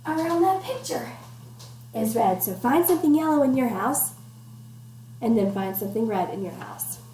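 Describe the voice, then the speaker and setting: A woman with a fairly high, very animated voice reads a picture book aloud to young children. She speaks slowly, encouraging them and giving simple instructions, in an echoey room at home. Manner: encouraging, addresses children directly with questions and instructions. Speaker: teacher reading aloud to children. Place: at home, indoors. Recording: echoey room with faint background noise.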